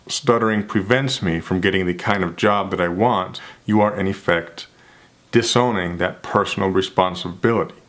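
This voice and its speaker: A man's voice: on the low side, a bit bright. A man who stutters, with repeated sounds and restarts, talking earnestly and reflectively to camera in a vlog. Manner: measured, earnest, self-reflective. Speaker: vlogger.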